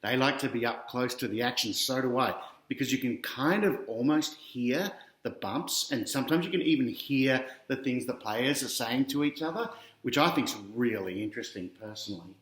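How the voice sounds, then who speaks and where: An Australian man reads a picture book to children in an echoey room. His pitch is average, and he keeps stepping out of the story with casual, chatty asides aimed straight at the listener. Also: moderate pace, few pauses, fairly bright tone. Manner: chatty, directly addressing young listeners. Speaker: author reading his picture book aloud.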